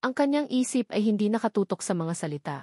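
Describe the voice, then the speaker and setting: A woman's voice: mid-range voice, big pitch swings, fast talker, a little mellow, no echo, studio quality. A woman reading a scripted dramatic story as its narrator, voicing the dialogue within the narration.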